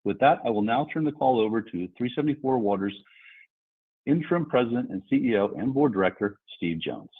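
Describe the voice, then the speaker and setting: A man's voice: medium pitch, animated, a little fast, breathy edge. A man in investor relations formally reading a scripted corporate statement. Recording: tinny phone-like conference line.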